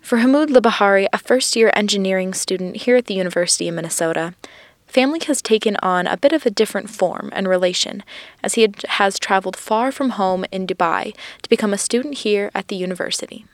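A woman, a student reporter, narrating a scripted news feature in a measured delivery. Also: mid-range voice, no echo.

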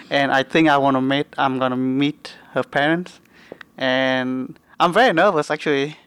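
A man's voice: medium pitch, steady tone, a little slow, fairly bright tone, clear, resonant tone. A young man whose first language is Vietnamese, answering interview questions in careful English.

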